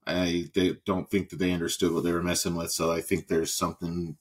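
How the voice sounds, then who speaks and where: A man's voice: low voice, flat delivery, brisk, a little hiss or hum. A man co-hosting a podcast in casual, easygoing conversation. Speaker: podcast co-host. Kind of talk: casual chat.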